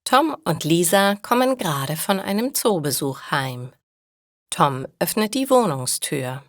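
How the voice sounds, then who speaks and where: A woman reading a scripted story for language learners in deliberate, clearly separated short sentences. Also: on the low side, sing-song, no room sound, studio quality.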